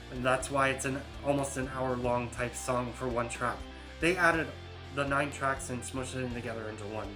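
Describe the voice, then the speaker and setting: A man in his early thirties, hosting a casual album ranking, rambling and hesitant with many fillers and restarts. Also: medium pitch. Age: 30s. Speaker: YouTube host.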